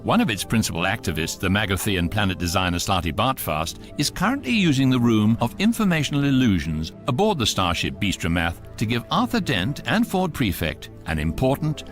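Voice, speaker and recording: A man's voice: on the low side, sing-song, a little fast, hardly any pauses. A man with a British accent as the urbane, wry voice of a galactic guidebook, narrating a scripted radio comedy. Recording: faint music under the voice.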